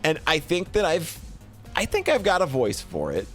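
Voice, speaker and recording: A man streaming game reviews in a casual, rambling, expressive and effusive way, talking to a live chat and swearing casually. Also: on the high side, moderate pace, soft background music.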